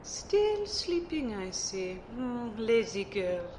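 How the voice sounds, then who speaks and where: Lady doing a mage teacher, snooty but fond, slow n drawn out, kinda high, audio drama. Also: sing-song, mellow, slight background noise. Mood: teasing fondness. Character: haughty, fond French female rune mage mentor. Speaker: voice actor in an amateur audio drama. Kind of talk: acted dialogue in an audio drama.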